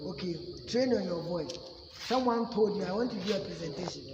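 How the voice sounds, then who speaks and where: A man's voice: high voice, fairly quick, noisy background. A man with a Ghanaian accent teaching a public speaking class in an emphatic way, often asking "you see?". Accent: Ghanaian English. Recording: echoey room with long reverb.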